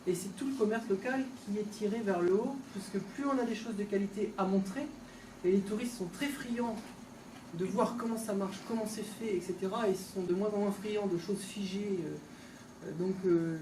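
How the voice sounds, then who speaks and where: A woman local official gives an informal opening speech in a conversational, halting, off-the-cuff way, recorded indoors from a distance. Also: mid-range voice. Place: indoors, tourist office exhibition hall. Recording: echoey room, distant mic, background noise.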